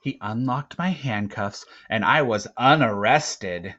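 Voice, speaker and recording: A man reading a novel aloud in an expressive first-person storytelling style. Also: mid-range voice, slow pace. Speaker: teacher reading a book aloud. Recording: slightly muffled, dull recording.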